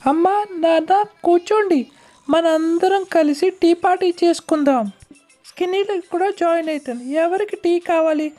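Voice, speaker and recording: A woman's voice: very high voice, moderate pace, warm tone, faint music behind. A woman voicing a bossy, playful young girl pig in a children's cartoon, with a childlike, pleading way of talking.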